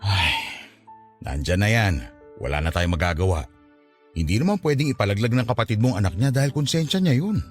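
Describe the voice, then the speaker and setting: A man's voice: on the low side, very animated, fairly quick. A Filipino man playing a patient, resigned older father in a radio drama, soothing and forgiving in his manner. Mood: resigned, understanding. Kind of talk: Tagalog radio drama acting. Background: background music.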